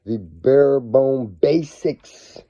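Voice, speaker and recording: A man with a cold, his voice scratchy and raspy, talking casually as a finance vlogger. He swings between low murmurs and excited bursts. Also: very slow, dry recording. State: hoarse from a cold. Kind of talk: casual video monologue. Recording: dull, lo-fi sound.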